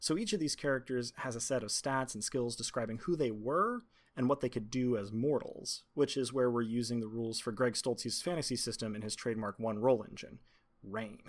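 A man speaking as game master and host, introducing and narrating a tabletop game in an articulate, measured, prepared way. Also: mid-range voice.

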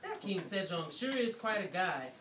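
Heard from far off in a noisy, echoey room, a woman plays a good-natured puppet farmer. The delivery is folksy and very animated in pitch. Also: normal speed. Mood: admiring, chatty. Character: plain-spoken busy Korean farmer, folksy, good-natured. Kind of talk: amateur puppet-show acting. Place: classroom, performers behind a draped table. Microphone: distant camera.

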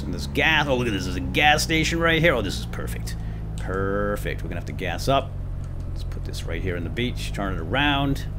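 A man hosting gameplay with excitable role-play commentary, playful and given to exclamations and quick bursts of repeated words. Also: medium pitch, noisy background. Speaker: gaming video host.

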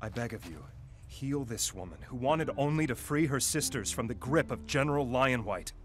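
An actor playing an earnest young rebel warrior leader in a video game, solemn, urgent and dramatic. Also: male voice, mid-range voice, animated, moderate pace, natural voice. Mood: pleading. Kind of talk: video game cutscene acting.

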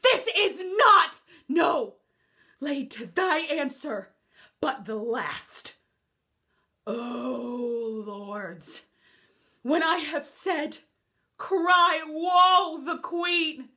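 A grieving noblewoman who declaims her fury in classical verse and then sinks into hushed whispers, as a woman acts her out. Her voice is high and bright, and she speaks slowly. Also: big pitch swings, full character voice. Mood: impassioned grief. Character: grieving, furious noblewoman accusing a king.

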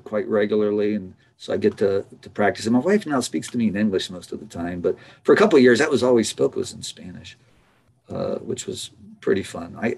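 A middle-aged man, a biologist, talking casually as an interview guest over a video call from his office, relaxed and laughing often. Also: mid-range voice, gravelly. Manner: relaxed, chatty, laughs often, some restarts. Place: office with bookshelf. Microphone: video call webcam.

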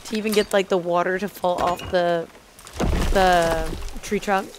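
A woman's voice: fairly low pitch, steady tone, measured pace, background noise. A young adult woman in a casual reaction chat as co-host. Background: game soundtrack music.

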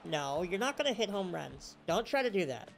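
A young man streaming a baseball game, talking casually and yelling at the plays, a bit congested with a slight cough, on a headset mic at home. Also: high voice, moderate pace, vocal fry, background noise. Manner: excitable, yelling at the game, slangy "bro". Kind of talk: casual gameplay commentary.

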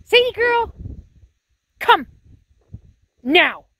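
A woman's voice: extremely high pitch, very animated, very slow, a bit bright, dry recording, rough recording. A woman in her fifties vlogging casually from outdoors and inside her RV, chatting and sweetly talking to her dog, recorded on a phone at arm's length. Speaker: RV travel vlogger. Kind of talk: casual vlog chat to camera and dog. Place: outdoors in windy high desert and inside an RV. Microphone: phone camera at arm's length.

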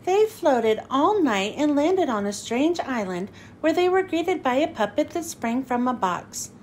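A woman reading a children's storybook aloud in an expressive, animated, emphatic way. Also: sits high, unhurried, slight background noise. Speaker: children's book reader.

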